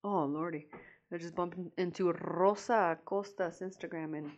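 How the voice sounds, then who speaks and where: A woman's voice: fairly low pitch, fairly even intonation, moderate pace, a little mellow, muffled recording. A young woman with a Mexican accent co-hosting a podcast in casual chat, laughing often and swearing freely. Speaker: podcast co-host.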